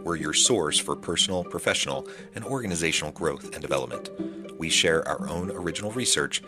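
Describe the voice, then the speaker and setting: A very low-voiced man delivers a smooth, scripted podcast intro in an even promotional tone. A loud music bed plays under his voice throughout the read. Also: brisk.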